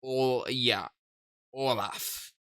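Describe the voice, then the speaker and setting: A man who streams games, reading a horror game's story aloud in a slow, dramatic storytelling way. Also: medium pitch, steady tone, a bit bright. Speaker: gaming streamer.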